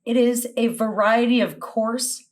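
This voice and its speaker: A middle-aged woman chatting playfully on a panel game, deliberate and mock-serious, on a video call. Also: a little high, fairly even intonation. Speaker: panelist and scorekeeper.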